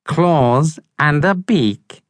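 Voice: medium pitch, expressive, extremely slow, no room sound, voice pushed up. A storybook narrator voicing a crusty old female seabird who speaks with salty, ominous warning. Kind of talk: storybook character reading.

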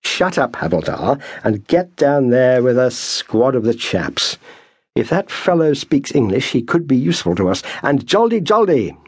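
A man's voice: medium pitch, sing-song, moderate pace, slightly rough, dry recording, voice pushed up. A man voicing a dim, pompous young British army officer with a plummy, blustering manner.

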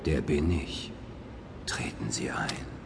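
An actor playing a menacing, courtly old vampire count in a solemn, theatrical audio drama style. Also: male voice, very deep voice, takes it slowly, vocal fry, exaggerated voice. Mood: courtly, ominous. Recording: noisy, long cavernous reverb.

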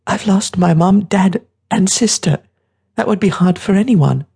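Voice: medium pitch, measured pace, vocal fry, dark tone, slightly rough, pitched above the performer's own voice, exaggerated voice. A narrator voicing a frightened, grieving, sulky ten-year-old boy who talks in a plaintive, protesting way. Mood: tearful, scared.

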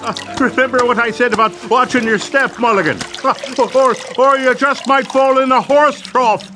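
A man's voice: very high voice, drawn-out words, vocal fry, over music, full character voice. An actor playing an irate, jeering man of the street in a comic radio drama, scolding and mocking.

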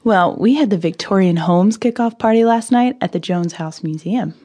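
An actress playing a breezy, irreverent amateur sleuth who loves gossip, wry and chatty in an audio drama. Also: female voice, mid-range voice, normal speed, mellow, close to an everyday voice.

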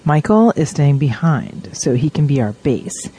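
A woman's voice: deep voice, big pitch swings, a little slow, warm tone, slight background noise. An actress playing a level-headed woman on a covert mission in an audio drama, speaking in a dry, wry way. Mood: matter-of-fact.

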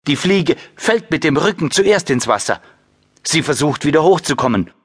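A man's voice: on the high side, lively intonation, normal speed, husky. A man reading scripted narration for a children's audiobook.

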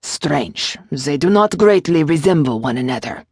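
A woman voicing a small, fretful male gargoyle demon in an audiobook, with a low, lilting French accent and wry, courtly phrasing. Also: animated, unhurried, a little mellow, breathy edge, dry recording, studio quality, exaggerated voice. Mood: wry, teasing. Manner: formal, courtly phrasing with endearments.

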